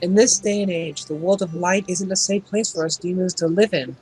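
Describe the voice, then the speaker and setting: A woman's voice: fairly low pitch, fairly quick, a little mellow, faint music behind, natural voice, poor audio. A woman voicing an overfamiliar, friendly demon maid in an amateur game fandub. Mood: earnest, calm. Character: friendly, overfamiliar female demon castle maid, loyal and chirpy. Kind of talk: amateur visual novel fandub acting.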